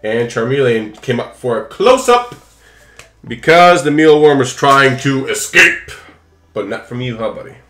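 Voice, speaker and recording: Young adult man, casual host commentary, playful, unhurried pace, roomy echo, light music behind. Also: medium pitch, expressive, fairly bright tone. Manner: playful, talks to pet and viewers. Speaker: host of a card-opening channel. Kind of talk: casual chat, running commentary on opening trading cards. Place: indoors, small room at home.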